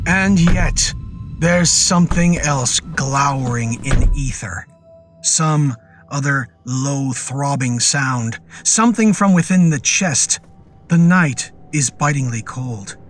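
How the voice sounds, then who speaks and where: A man narrating a scripted horror story in a deliberate, literary storytelling manner. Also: mid-range voice. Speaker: narrator and performer.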